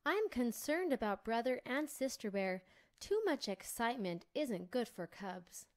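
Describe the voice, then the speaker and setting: A man voicing a gentle, worried mother bear in a storybook reading, speaking softly with sighs. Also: very high voice, expressive, a little slow, voice pushed up. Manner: soft, sighing.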